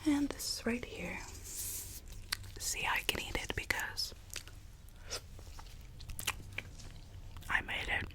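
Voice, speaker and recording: A woman's voice: on the high side, lively intonation. A woman whispering an ASMR roleplay as a rogue Alice, a coaxing, sweetly menacing young woman, close to the microphone. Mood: quietly menacing. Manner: slow, hushed, coaxing, repeats phrases softly.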